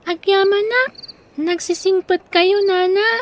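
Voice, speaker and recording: A woman's voice: very high-pitched, fairly even intonation, unhurried, slight background noise. A woman playing a timid, hurting little girl in an audio drama, with a plaintive, childlike manner and a Filipino accent. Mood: timid, pleading.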